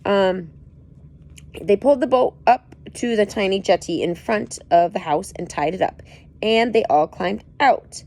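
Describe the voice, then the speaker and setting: A woman's voice: medium pitch, unhurried, darkish tone. A woman reading a book aloud, breaking off for playful, joking asides. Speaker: reader.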